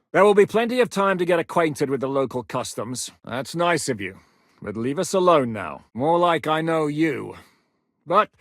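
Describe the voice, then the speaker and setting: A middle-aged actor playing a blunt, battle-hardened military commander who gives orders and swears freely, recorded as video game voice lines in a studio booth. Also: male voice, a little high, a bit bright, no echo.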